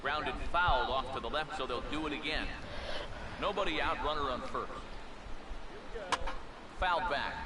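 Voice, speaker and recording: A man calling baseball play-by-play for a video game in a polished, measured broadcast delivery. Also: a little high. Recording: game audio in echoey, very noisy room.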